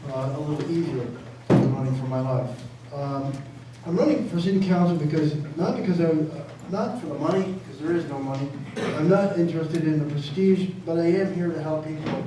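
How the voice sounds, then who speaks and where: A man's voice: on the high side, dark tone. An older man from Massachusetts giving an informal, hesitant campaign introduction with wry humor. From Massachusetts, United States. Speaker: city council candidate, attorney. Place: large indoor public forum room. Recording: echoey hall, distant mic, background noise.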